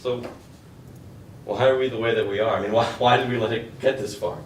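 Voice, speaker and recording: A man's voice: mid-range voice, steady tone, a little fast, a little hiss or hum, natural voice. A young man playing James, defensive and stammering. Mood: bewildered. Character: James, young man baffled by his partner's grievances. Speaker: student actor. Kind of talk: stage play performance. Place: school stage. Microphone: distant audience camera. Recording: echoey stage recorded from far off.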